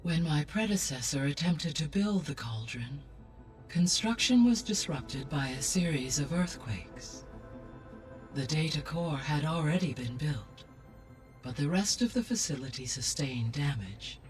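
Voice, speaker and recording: A man voicing a calm machine intelligence in a video game, with measured, formal and precise speech. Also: a little high, recorded from a distance, soft background music. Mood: calm, informative. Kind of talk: scripted video game dialogue.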